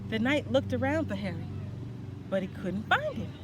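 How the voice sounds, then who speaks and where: A woman reading a story aloud in an expressive storytelling style. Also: a little high, moderate pace, noisy background.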